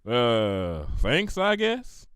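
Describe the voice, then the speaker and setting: A man's voice: mid-range voice, huge pitch swings, drawn-out words, fairly bright tone, smooth, no room sound, studio quality, exaggerated voice. A man voicing a blunt, hard-working female cartoon farm pony with a folksy, drawling way of talking, in a story reading. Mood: wary, puzzled. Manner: folksy, plain-spoken, drawling dialect.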